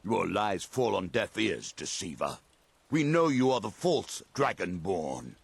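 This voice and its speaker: An actor playing a menacing, fanatical cultist in a fantasy video game, declaiming accusations in a gravelly, husky voice. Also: male voice, low-pitched, big pitch swings, a little slow, no room sound, full character voice. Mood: menacing, accusing. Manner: ominous, declamatory.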